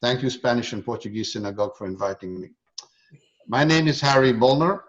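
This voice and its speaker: An older man in his seventies speaks at a middle pitch over muffled, lo-fi call audio. He is from Romania and Israel and presents himself as a guest speaker, pausing often as he goes. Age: 70s. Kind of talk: prepared talk introduction on a video call. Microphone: video call. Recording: dull lo-fi video call audio.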